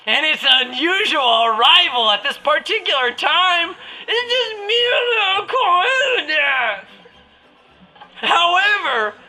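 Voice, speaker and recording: A woman's voice: high voice, bright, forceful tone, heavily acted, poor audio. A woman playing an eccentric, boastful, lewd professor in a goofy, theatrical radio drama parody. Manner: theatrical, goofy, reads stage directions aloud. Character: eccentric, boastful, lewd astronomy professor, nerdy oddball man. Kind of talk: parody of 1930s radio drama, partly improvised.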